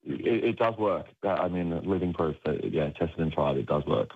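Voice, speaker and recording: Brief: young hoarse Australian guy, lively up-and-down delivery, lower voice, muffled lo-fi line. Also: normal speed. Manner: rambling, laughs often, many fillers. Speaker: radio guest. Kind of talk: casual chat.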